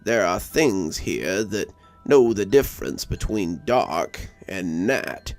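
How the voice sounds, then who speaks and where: A man voicing a grave, watchful army sentry with a measured manner in an audio story. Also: sits low, lively intonation, no room sound, faint music behind, voice pushed down, full character voice. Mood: grave warning.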